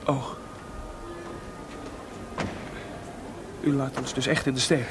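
An actor playing a melancholy, restless composer in a Dutch radio play, hesitant and searching for words, with theatrical delivery. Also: male voice, medium pitch, little pitch movement, fast talker, music in the background, lightly acted. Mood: anxious, probing. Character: Mozart, restless, melancholy composer, proud but adrift.

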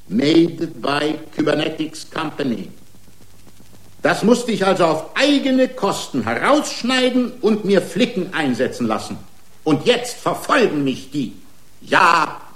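A man's voice: fairly high pitch, a bit bright. An actor playing an indignant, argumentative racing driver who is part man, part machine, pleading his own case in a German radio play. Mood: aggrieved. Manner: argumentative, rhetorical, aggrieved. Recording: roomy studio courtroom sound.

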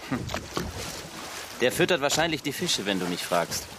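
A man's voice: medium pitch, sing-song, moderate pace, close to an everyday voice. Actor as a proud young man, water noise. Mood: dry. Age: young adult. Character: irritable, proud young man with money worries. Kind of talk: radio play dialogue.

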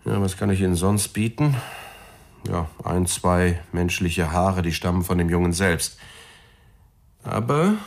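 An actor playing a precise, slightly showy police forensics expert in a radio crime drama, presenting findings deliberately. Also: male voice, deep voice, exaggerated voice.

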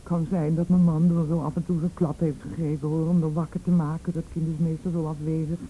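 Dark-voiced, defensive, evasive mother played by an actress. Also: low-pitched, flat delivery, fairly quick, a little hiss or hum, close to an everyday voice, low-quality recording. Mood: evasive, dismissive. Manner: hesitant, indignant, evasive. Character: defensive, evasive, frightened mother shielding her abusive husband. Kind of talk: Dutch radio play acting.

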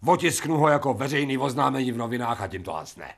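A brash former dog turned man in a radio drama. He talks back in coarse, cheeky slang. His voice bounces over a wide pitch range. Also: lively intonation, vocal fry. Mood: insolent. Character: crude insolent man made from a dog.

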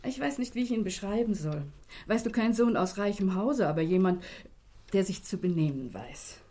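An actress playing a caring, apologetic middle-aged wife in a German radio drama, explaining things gently. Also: female voice, mid-range voice, lively intonation, normal speed, natural voice.

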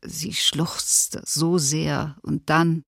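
Low, slow, sorrowful woman survivor. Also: level tone, creaky, a bit bright, husky, no room sound, clean studio sound, heavily acted. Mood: sorrowful, subdued. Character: sorrowful woman survivor recalling her mother and near death. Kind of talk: documentary radio play testimony.

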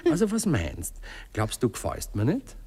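An actor playing a sentimental, muddled Viennese gentleman in a radio play, speaking haltingly and musing as he goes. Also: on the low side, huge pitch swings, slightly rough, heavily acted. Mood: puzzled, searching. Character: sentimental, muddled gentleman client, wistful and awkward. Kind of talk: naturalistic German radio play acting.

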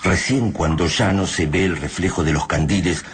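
A man with a Rioplatense accent narrating a radio drama in a grave, ominous, deliberate manner. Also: low voice, slight background noise. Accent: Rioplatense Spanish. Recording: narration over loud music.

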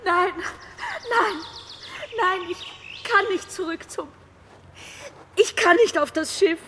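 A woman's voice: extremely high pitch, slow, bright, forceful tone, a little hiss or hum, heavily acted. An actress in a German radio drama playing a frightened, distraught teenage girl. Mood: panicked, refusing.

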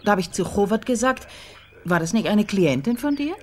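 Actress as wry older widow, lively intonation. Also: female voice, mid-range voice, moderate pace, close to an everyday voice. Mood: wry, recollecting. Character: composed, wry older widow of a private detective. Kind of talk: naturalistic radio crime drama acting.

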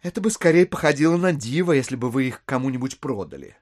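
An actor playing a scheming, smooth-talking gentleman swindler in a classic audio drama, insistent, wheedling and easily exasperated. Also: mid-range voice, lively intonation, fast talker, dry recording, studio quality, close to an everyday voice. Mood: persuasive, insistent. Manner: insistent, wheedling, theatrically emphatic.

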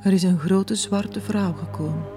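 An actress with a Flemish accent playing a suspicious, insinuating village gossip in a radio play. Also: female voice, medium pitch, fairly even intonation, normal speed, mellow, faint music behind, natural voice. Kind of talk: 1980s radio play acting.

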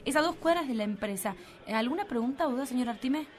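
Brisk, high-voiced office clerk. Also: female voice, expressive. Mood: brisk, polite. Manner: polite, businesslike. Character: brisk, formal female office clerk giving instructions. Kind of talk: radio drama dialogue.

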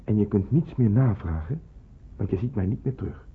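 A phone-like, muffled old radio recording carries a man as a secret wartime helper. His voice is fairly low and very husky. He speaks gravely and carefully, giving instructions in a radio drama. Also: animated. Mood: instructing. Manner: deliberate, instructing. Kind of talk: Dutch radio play acting.

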